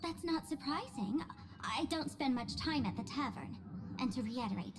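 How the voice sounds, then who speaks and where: A woman's voice: high-pitched, expressive, moderate pace. A woman voicing a haughty, mischievous young female trickster in a video game, sly, mocking and theatrical in her delivery. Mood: dismissive. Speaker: game character voice actor. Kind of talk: video game character acting. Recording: background music under the voice.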